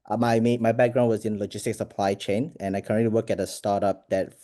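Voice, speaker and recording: Low, mellow man. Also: level tone, quick pace. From Selangor, Malaysia. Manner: slightly rambling, self-correcting. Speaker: startup worker, master's student. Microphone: video call.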